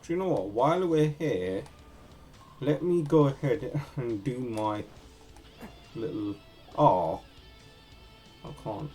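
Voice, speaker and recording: A man's voice: medium pitch, animated, slow pace, long pauses, warm tone, soft background music. A man giving casual, offhand commentary while playing through a video game. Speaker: game streamer.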